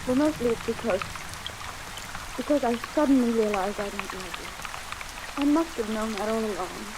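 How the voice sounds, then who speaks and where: A woman playing a hurt but resolute young fiancée in an old-time radio drama, earnest, halting and melodramatic. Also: on the high side, background noise, natural voice. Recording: old radio recording under loud rain.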